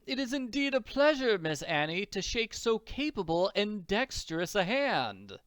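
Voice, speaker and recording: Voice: mid-range voice, sing-song, moderate pace, fairly bright tone, no echo, clean studio sound, pitched above the performer's own voice. A performer voicing a courtly comic-strip doctor with formal, florid phrasing.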